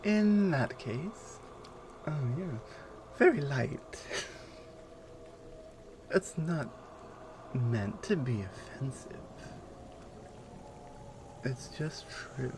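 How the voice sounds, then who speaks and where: Woman voicing strong Amazonian warrior woman, ASMR roleplay, slow delivery, commanding, amused, condescending, noisy ambient background. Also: very deep voice, animated, pitched below the performer's own voice, full character voice. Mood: satisfied, teasing. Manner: slow, teasing, condescending, self-assured.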